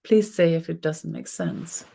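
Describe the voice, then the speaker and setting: A middle-aged woman with a Danish accent, her first language Danish, teaching a spiritual webinar in a soft, unhurried way, often pausing and restarting as she searches for English words. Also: deep voice, creaky, slightly rough.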